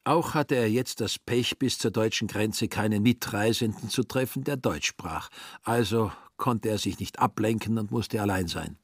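A man's voice: medium pitch, dry recording, studio quality. A man narrating a literary radio play in a scripted, dryly ironic storytelling manner.

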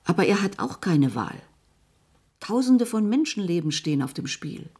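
An earnest scientist with a breathy, husky voice full of creak. She pleads in short, measured phrases. It is acting for a science fiction radio drama. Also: mid-range voice, very animated, a little mellow. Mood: urgent, imploring. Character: earnest, pleading female scientist coaxing a distrustful being.

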